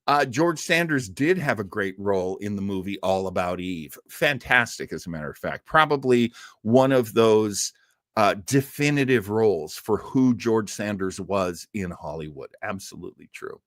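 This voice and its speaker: An American man speaks as a chatty live stream host, with very lively, swooping intonation. He rambles jokily from one aside to the next in a casual style. The sound is close and dry. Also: on the low side, normal speed, no room sound. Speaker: live stream host of an old-time radio show. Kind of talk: casual live chat with viewers.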